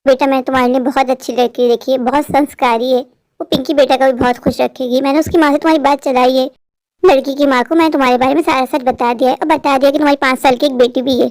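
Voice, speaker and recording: A woman's voice: sits high, fairly even intonation, fast, a little mellow, dry recording, natural voice. A woman playing a caring older aunty in a Hindi cartoon, with an earnest, motherly and persuasive manner. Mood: earnest persuading.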